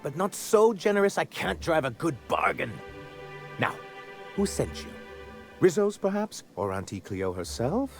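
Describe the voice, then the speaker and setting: An actor playing a pompous, melodramatic corporate executive with florid, grandiose speech in a video game. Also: male voice, a little high, sing-song, unhurried, gravelly, soft background music, exaggerated voice. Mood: grand, courteous. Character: pompous, theatrical corporate executive man, easily wounded and melodramatic. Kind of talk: video game character acting.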